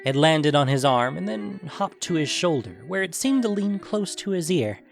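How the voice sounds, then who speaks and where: A man's voice: on the high side, sing-song, normal speed, vocal fry. A man reading scripted fantasy story narration as the narrator. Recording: music behind the voice.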